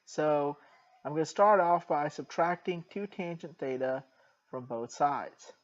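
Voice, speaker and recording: A man teaching a math lesson in a soft voice, working through a problem step by step and slipping in dry jokes. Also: a little high, measured pace, dull audio. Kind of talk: jokey recorded math lesson.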